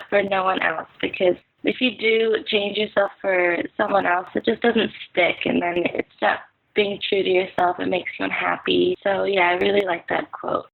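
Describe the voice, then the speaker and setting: Young woman guest from the Philippines, middling pitch, earnest and reflective, recorded over a tinny phone-like line. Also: vocal fry. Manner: earnest, reflective, often says "like" and "so, yeah". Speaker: podcast guest, clothing brand founder. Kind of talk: casual chat.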